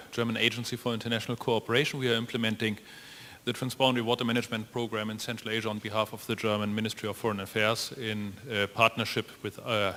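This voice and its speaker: A man's voice: fairly low pitch, pushed and bright, a little hiss or hum. A man from a development agency asking a businesslike question at a conference. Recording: long hall reverb.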